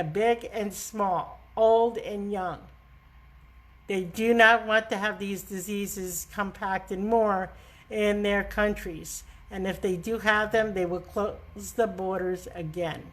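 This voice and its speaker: A middle-aged woman with a New York accent hosting a live travel talk, emphatic and scolding in a chatty way. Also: mid-range voice. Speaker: travel agent host.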